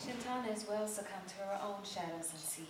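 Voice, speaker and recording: A woman's voice: mid-range voice, fairly quick. A woman reading scripted literary narration in a theatrical style, live on stage. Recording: roomy live theatre, distant, very noisy.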